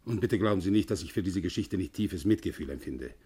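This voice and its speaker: An actor playing an insistent, self-justifying company boss in a 1960s German radio crime drama, formal and argumentative. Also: male voice, deep voice, animated, fast talker, warm tone, husky, exaggerated voice.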